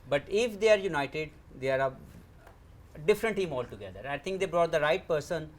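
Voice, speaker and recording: A man's voice: on the high side, animated, a little fast. A man giving his opinions as a sports panel pundit. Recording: faint background noise.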